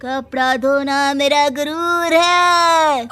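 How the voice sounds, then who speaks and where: A woman voicing an excitable, theatrical young bride in a Hindi cartoon moral story, exclaiming indignantly. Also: high voice, extremely slow, soft background music, exaggerated voice. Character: excitable young bride obsessed with washing clothes, melodramatic.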